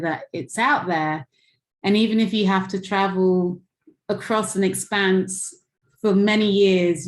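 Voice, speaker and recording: A woman's voice: medium pitch, a little mellow. Chatty woman in an echoey room. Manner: chatty, laughs often, lots of fillers. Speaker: musician guest. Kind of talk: casual chat on a call. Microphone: video call.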